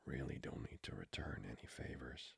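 A man playing a flirty, hesitant vampire in an ASMR roleplay, speaking haltingly in a breathy whisper. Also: a bass voice, lively intonation, no echo, full character voice. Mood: shy concern. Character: flirty, hesitant, lustful male vampire, tsundere.

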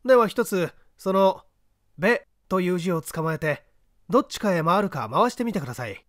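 A coolly assured detective, played by a male reader, speaks in a high, lively voice. He gives polite orders and explains like a patient teacher, in storybook dialogue. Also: moderate pace, dry recording, studio quality. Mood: commanding. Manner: polite, commanding, didactic. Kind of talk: audiobook character dialogue.

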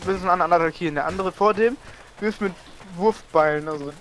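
A man's voice: high-pitched, quick pace, fairly bright tone. A young man chatting with friends over a game, telling long rambling stories about his bad luck in the match. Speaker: co-player. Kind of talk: casual multiplayer gaming chat.

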